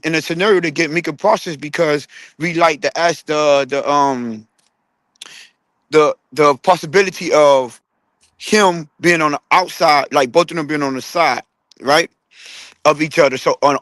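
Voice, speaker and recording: A man's voice: a little high, fairly bright tone, no room sound. Rambling man chatting about football. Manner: rambling, many restarts and fillers; asks the panel questions. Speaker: podcast host. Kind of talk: casual sports chat. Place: online audio room.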